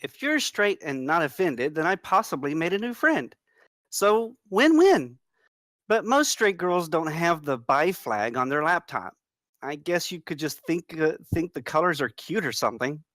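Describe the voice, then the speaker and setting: A woman's voice: low-pitched, very animated, a little slow, fairly bright tone, no room sound, clean studio sound, exaggerated voice. A woman playing a flirty, confident woman in her twenties at a screenplay table read. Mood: teasing.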